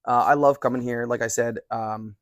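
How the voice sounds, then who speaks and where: A man in his forties chats casually as the host of a review. His voice sits at an average pitch and is recorded dry, with little room sound. He rambles a little and comes across as polite and appreciative. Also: fairly even intonation, normal speed, no echo. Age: 40s. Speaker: cigar reviewer and video host. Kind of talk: casual chat.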